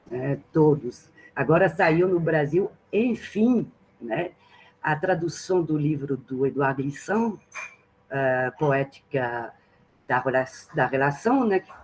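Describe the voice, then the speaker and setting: A woman's voice: low-pitched, warm tone, a little hiss or hum, poor audio. A woman from France with a French accent in Portuguese, giving a reflective academic talk as a guest speaker and thinking aloud with many fillers and tag questions. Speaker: guest speaker, translation professor. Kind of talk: academic talk in an online seminar.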